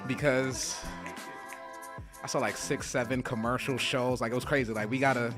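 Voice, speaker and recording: A man hosting an open mic night on a stage mic, chatting casually with quick, apologetic asides. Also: mid-range voice, steady tone, over music. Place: small performance venue, indoors.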